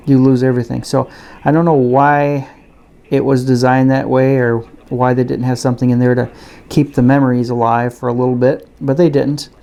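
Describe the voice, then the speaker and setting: A man giving a casual, unscripted product review and demonstration in a halting, hesitant way. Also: medium pitch, creaky, warm tone, clean tone. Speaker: gadget reviewer.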